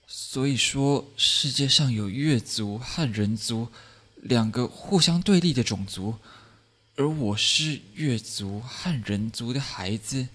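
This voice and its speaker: A man's voice: medium pitch, lively intonation, slow, fairly bright tone. An actor playing a guarded, bewildered young half-blood man in a Chinese audio drama, with terse, wary delivery. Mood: stunned.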